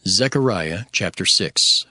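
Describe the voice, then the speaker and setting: A man narrating scripture from a script in a formal, measured manner. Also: low voice, expressive, music in the background.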